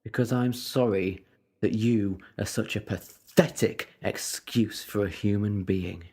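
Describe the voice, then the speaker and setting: A man playing a confident, sharp-tongued time-travelling hero in an audio drama, sardonic and self-assured. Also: lowish voice, measured pace, slightly rough. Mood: scornful. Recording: long hall-like reverb.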